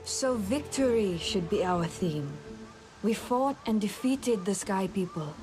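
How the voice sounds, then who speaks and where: Confident alien clan artist. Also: female voice, medium pitch, lively intonation, takes it slowly, over music. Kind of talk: video game dialogue acting.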